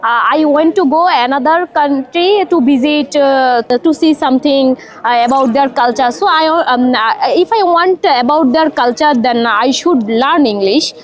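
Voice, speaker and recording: A woman from Bangladesh whose first language is Bengali, practicing spoken English in a halting way, with many fillers and pauses. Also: sits high. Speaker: English learner.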